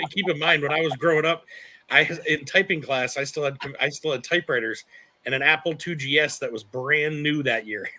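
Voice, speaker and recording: A man's voice: on the high side, bright, forceful tone. A man in his forties hosting a casual livestream chat, rambling and correcting himself as he goes. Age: 40s. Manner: rambling, self-correcting, laughs often. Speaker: channel host.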